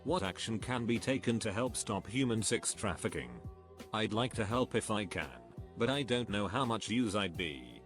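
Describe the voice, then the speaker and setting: A man giving a scripted narration, reading online questions and answers in an even, measured way. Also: lowish voice, soft background music.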